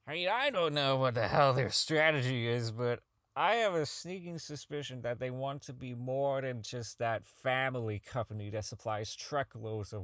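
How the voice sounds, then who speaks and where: An actor playing a socially inept, bitter robotics engineer in an audio drama log, rambling, halting, swearing and putting himself down. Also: male voice, mid-range voice, a bit bright, dry recording, clean studio sound, close to an everyday voice. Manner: rambling, halting, profane, self-deprecating. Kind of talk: audio drama monologue as a recorded personal log.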